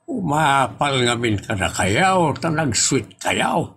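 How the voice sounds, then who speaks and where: A man's voice: medium pitch, big pitch swings, slow, a bit bright, breathy edge, heavily acted. A man with an Ilocos accent from the northern Philippines playing a grumbling, teasing older father in a comedy audio drama.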